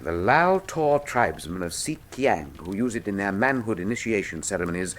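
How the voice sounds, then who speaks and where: Radio drama male sleuth: keen, precise, lecturing, lively melodic delivery. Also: deep voice, normal speed. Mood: analytical. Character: keen, commanding Victorian male detective, precise and erudite.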